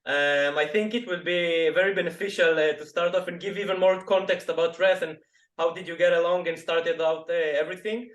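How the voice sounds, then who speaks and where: A man's voice: fairly high pitch, fairly even intonation, fairly quick, fairly bright tone. A man hosting a podcast interview, asking casual questions in a hesitant way and rephrasing as he goes. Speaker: podcast host. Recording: echoey room.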